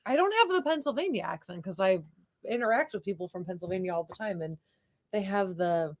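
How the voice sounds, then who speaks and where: A woman's voice: medium pitch, animated, fairly quick. A woman with a Buffalo, New York accent, co-hosting a casual livestream chat and laughing easily. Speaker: co-host.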